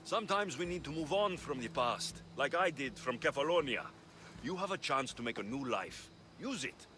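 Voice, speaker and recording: An actor playing a gruff young Greek mercenary hero, blunt and earnest, in a video game cutscene. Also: male voice, medium pitch, lively intonation, normal speed, bright, forceful tone, a little hiss or hum. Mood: calm, reasoning. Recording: music behind the dialogue.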